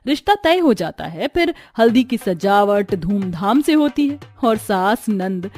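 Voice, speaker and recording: A woman's voice: medium pitch, very animated, measured pace, a little mellow, soft background music. A woman telling a scripted family story as its narrator.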